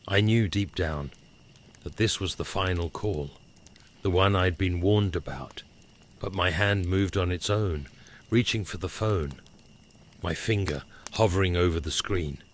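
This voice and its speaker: Very deep-voiced male horror narrator. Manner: dramatic, suspenseful delivery. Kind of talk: scripted first-person scary story narration. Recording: clear background crackling noise. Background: crackling fire ambience.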